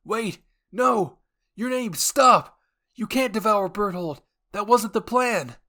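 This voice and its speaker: Man voicing an urgent, forceful young anime hero. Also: sits high, takes it slowly, pitched above the performer's own voice, full character voice. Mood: desperate yelling. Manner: urgent, yelling orders. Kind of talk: anime fan roleplay acting.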